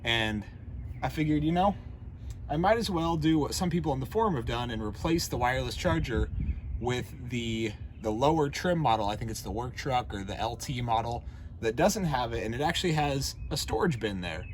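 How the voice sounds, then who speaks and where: A man's voice: medium pitch, expressive, normal speed. Man thinking aloud over vehicle noise. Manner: informal, thinking aloud while working. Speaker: DIY truck vlogger. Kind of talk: casual hands-on tutorial talk. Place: in or around a pickup truck. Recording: clearly noisy, vehicle ambience.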